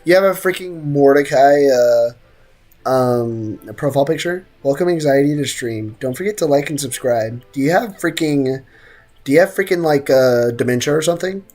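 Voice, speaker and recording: Game streamer, medium pitch, casual livestream chatter, joking, slangy. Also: normal speed, darkish tone, soft background music.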